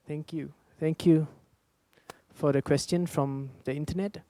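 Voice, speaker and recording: Higher-voiced man in his thirties, dry sound. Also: steady tone, a little slow, warm tone, no room sound. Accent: Singaporean. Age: 30s. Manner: hesitant, many fillers. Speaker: panel speaker. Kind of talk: panel Q&A answers.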